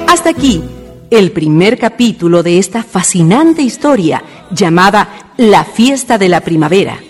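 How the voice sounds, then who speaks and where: A woman with a Chilean accent narrating and announcing a community radio drama in a measured way. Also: medium pitch, sing-song, breathy edge, faint music behind.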